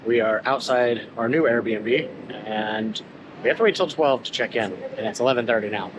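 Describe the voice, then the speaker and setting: Man vlogging over clear background noise. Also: medium pitch, normal speed. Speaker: travel vlogger, father. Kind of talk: casual family travel vlog. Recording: noisy handheld vlog, street and ambient noise.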